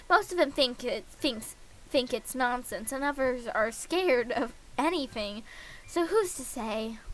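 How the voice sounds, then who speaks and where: Very high-pitched, slow-paced young-sounding woman streamer. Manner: gushing, playful, expressive reading. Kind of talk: live game stream, reading visual novel dialogue aloud.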